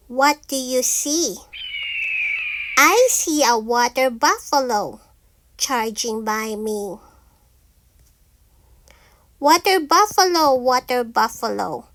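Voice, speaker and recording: High-voiced woman reading very slowly to children. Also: fairly bright tone. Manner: singsong, deliberate repetitive phrasing. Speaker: teacher reading picture books aloud. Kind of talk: slow children's read-aloud.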